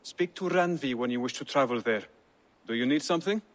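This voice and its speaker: An actor playing a courteous, wary scholar of a secret brotherhood in a video game, speaking formally and carefully. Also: male voice, mid-range voice, fairly quick, close to an everyday voice. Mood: guarded, courteous. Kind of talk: dramatic video game dialogue acting.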